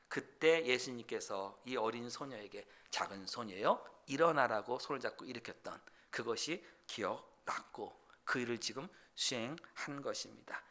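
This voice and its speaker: Pastor guy preaching, really bright ringing voice, earnest, gets loud then goes quiet. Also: fairly low pitch. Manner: earnest preaching that swings from loud, pleading passages to quiet explanation. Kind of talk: sermon. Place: church sanctuary.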